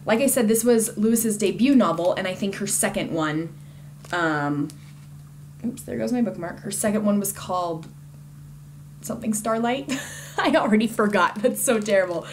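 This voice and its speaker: A woman's voice: mid-range voice, moderate pace, slight background noise. A woman giving a casual, conversational book review in an informal and enthusiastic way.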